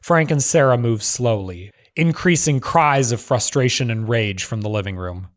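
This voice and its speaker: Guy reading out script directions, middle pitch, measured and clipped, narrator style. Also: expressive.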